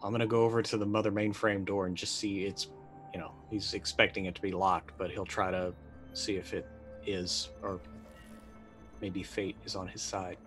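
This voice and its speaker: A middle-aged man with a fairly low voice improvises as a tabletop game player. He talks casually and hesitantly, muttering as he works out his moves, with faint music underneath. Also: flat delivery, moderate pace. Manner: hesitant, muttering while thinking. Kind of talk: casual improvised roleplay talk. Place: indoor room, online video call.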